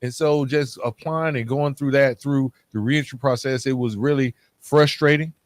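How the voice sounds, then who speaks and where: A man's voice: medium pitch, steady tone, normal speed, no room sound. A man with a mid-range voice hosts a casual podcast conversation. He talks informally and candidly, with colloquial phrasing. The sound is dry and close to the microphone. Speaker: podcast host. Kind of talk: casual interview and personal reflection.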